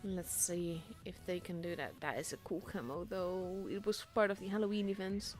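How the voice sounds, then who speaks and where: A young Dutch woman, a native Dutch speaker, casting a tank game solo in a chatty, self-deprecating way that grows excited at big plays. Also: lowish voice, normal speed, soft background music. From Netherlands. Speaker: esports caster.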